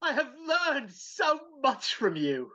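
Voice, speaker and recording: Casting: woman playing pleading old miser, anxious, repentant, bright and animated, lo-fi video-call reading. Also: high-pitched, slow, exaggerated voice. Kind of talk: live amateur dramatic reading. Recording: dull, lo-fi video call audio.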